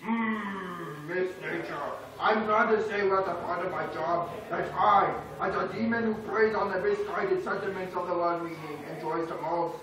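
A man's voice: sits high, moderate pace, few pauses, crowd noise, natural voice. A man voicing a smug, officious millipede demon who works as a government bureaucrat, in a live puppet show. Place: small indoor puppet stage. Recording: echoey room from far off with noise.

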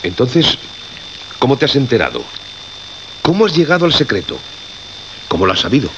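An actor with a Castilian Spanish accent playing an irritated, sceptical radio station colleague in a suspense drama, blunt and pressing. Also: male voice, mid-range voice, huge pitch swings, normal speed, fairly bright tone. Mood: suspicious questioning. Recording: dull radio recording, faint noise.

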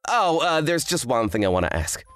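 A woman voicing an earnest, kind teenage boy, an excitable manga fan, in an anime-style romantic comedy dub. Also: low-pitched, brisk, faint music behind. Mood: flustered. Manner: earnest, exclamatory.

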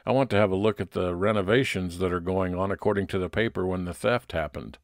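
A private investigator played by a man in an old-time radio detective story. His voice is low and measured, with a wry, matter-of-fact delivery and no room sound. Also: no room sound, studio quality. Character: Billy, male private investigator, observant and wry.

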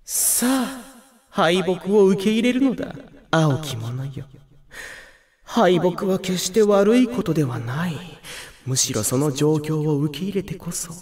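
A man's voice: sits high, animated, measured pace, a little mellow. A man voicing a gloomy, theatrical young demon villain in a video game, brooding and poetic, with grandiose melodrama. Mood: taunting. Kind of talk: Japanese video game character voice clips.

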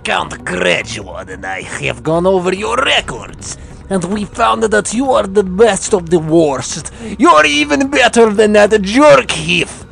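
A woman's voice: medium pitch, sing-song, slow pace, vocal fry, voice pushed down, heavily acted. A woman voicing a gloating villainous headmistress in an amateur comic dub, menacing and commanding.

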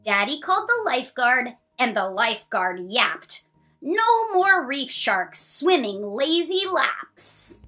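A woman in her thirties reading a children's picture book aloud, expressive and sing-song, with drawn-out lines and counting along. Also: high voice.

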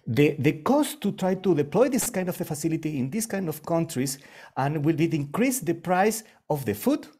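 A man from Chile with a Spanish accent, speaking English as a panelist in an online discussion, earnest and halting with many restarts. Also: fairly high pitch, very animated, warm tone, slight background noise.

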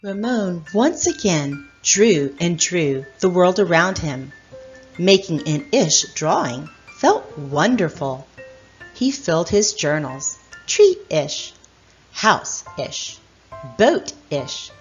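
A middle-aged woman reading a children's picture book aloud as host, in a deliberate, expressive storyteller manner. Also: lowish voice, highs cut off, faint music behind.

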